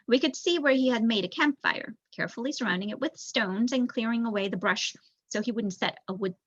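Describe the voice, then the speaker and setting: Bright-voiced young woman reading a story. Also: medium pitch, no echo, highs cut off. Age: young adult. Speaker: volunteer audiobook reader. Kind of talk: storybook narration read aloud.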